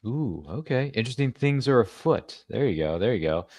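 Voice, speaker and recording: A man's voice: medium pitch, expressive, brisk, a little mellow, no echo, studio quality. A man hosting a podcast interview, chatty and rambling, with jokey asides. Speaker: podcast host. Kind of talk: casual interview chat.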